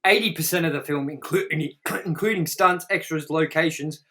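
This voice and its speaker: A man co-hosting a review show, reading out trivia in a halting way with casual, offhand asides. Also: a little high, pushed and bright. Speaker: co-host of a movie review show.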